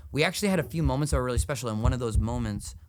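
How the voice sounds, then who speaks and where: A man's voice: medium pitch, animated, very fast, a little mellow. A man in his thirties, a musician talking casually as a podcast guest, jokey and rambling.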